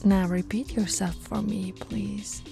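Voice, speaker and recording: A woman voicing a patient, teasing female police officer in an ASMR audio roleplay, speaking softly and coaxingly to the listener, recorded close to the mic. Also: medium pitch, steady tone, slow, gravelly, soft background music. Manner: soft-spoken, coaxing, playfully flirtatious. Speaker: ASMR voice actress.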